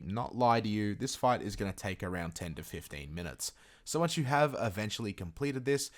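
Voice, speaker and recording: A man with a fairly low voice presents a gaming guide. He talks casually and informally, stressing key points and dropping in chatty asides as he explains. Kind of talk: casual video game tutorial narration.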